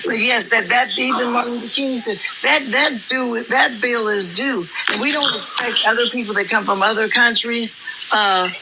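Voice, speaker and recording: A woman caller talks insistently, doubling words as she presses each point. Her voice has a gravelly, creaky edge. The phone-line audio carries a steady hiss of noise. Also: fairly high pitch, normal speed. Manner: insistent, repeats words when starting. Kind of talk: call-in debate.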